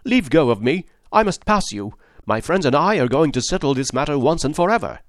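A man's voice: mid-range voice, sing-song, normal speed, no room sound, clean studio sound, natural voice. A man reading aloud and voicing an agitated husband who talks in a raised, stern, insistent voice. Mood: stern, frantic. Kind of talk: audiobook character voice.

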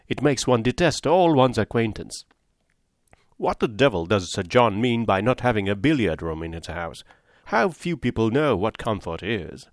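A man voicing a surly, contrary gentleman who grumbles and sneers. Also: on the low side, dry recording, clean studio sound.

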